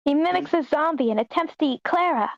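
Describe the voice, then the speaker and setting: A woman's voice: sits high, expressive, fast, creaky, no room sound. A woman reading a screenplay's stage directions aloud at an online table read, with muffled meeting audio.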